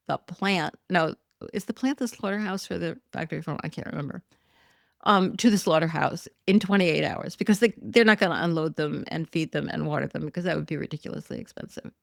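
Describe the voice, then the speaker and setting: A woman's voice: fairly low pitch, fairly quick, creaky, dry recording, clean studio sound. A woman hosting a solo podcast, talking through news in a chatty, wry way with many ums and self-corrections, her voice a bit worn. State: losing her voice. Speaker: podcast host. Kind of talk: casual solo commentary podcast.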